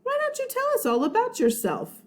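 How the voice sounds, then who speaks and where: A woman voicing a composed, firm primary school teacher, measured and pointedly polite, in a children's storybook. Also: extremely high pitch, huge pitch swings, voice pushed up, heavily acted. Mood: firm, prompting.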